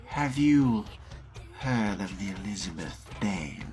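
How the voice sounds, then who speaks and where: Fledgling vampire male performer: very deep, lively intonation, reserved and terse, game role-play. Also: takes it slowly, over music. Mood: guarded, curious. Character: male newly turned vampire, guarded and terse.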